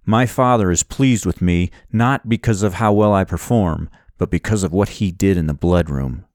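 A man's voice: low voice, normal speed, darkish tone, dry recording, clean studio sound, lightly acted. Man acting an earnest, steadfast young hero. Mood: steadfast conviction. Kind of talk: audiobook character voice.

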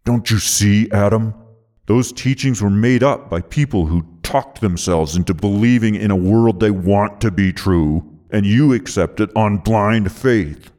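A man's voice: low-pitched, expressive, normal speed. A man voicing a sneering, hostile and scornful male villain in an audiobook. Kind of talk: audiobook character voice.

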